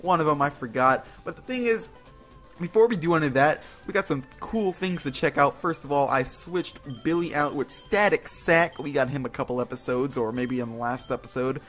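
A man's voice: on the high side, brisk, a bit bright. A man hosting a casual video game playthrough, rambling, exclaiming and poking fun at himself as he goes. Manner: rambling, self-mocking, exclaiming. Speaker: gaming video host. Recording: music behind the voice. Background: video game music.

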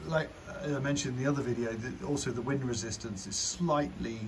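A man's voice: medium pitch, brisk. A man giving a casual, informal demo commentary as a drone reviewer, thinking aloud hesitantly. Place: outdoors on a garden patio in rain. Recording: outdoor recording with clear background noise.

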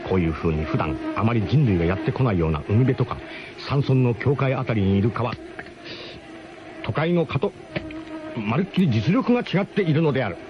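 A man's voice: medium pitch, very animated, a little fast. A man narrating a radio drama as comic first-person storytelling, in an emphatic, mock-grandiose manner. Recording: muffled radio broadcast with clear background noise.

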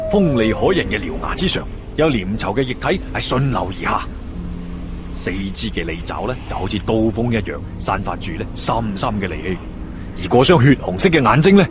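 A man with a Hong Kong Cantonese accent narrating a fantasy story in a dramatic, expressive, colloquial way with drawn-out particles. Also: medium pitch. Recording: music behind the voice.

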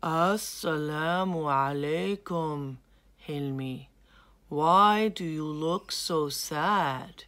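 A woman voicing a mild, slow-moving male chameleon who speaks in a calm, measured way. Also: low-pitched, exaggerated voice.

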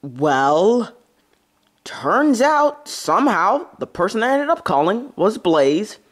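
A man acts out a nervy little-brother fox in a homemade plush comedy. He rambles hesitantly with a sarcastic edge, his pitch jumping around a lot. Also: sing-song, slow pace, fairly bright tone, heavily acted. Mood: awkward, sarcastic. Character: anxious, wordy young fox little brother. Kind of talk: amateur plush comedy skit.

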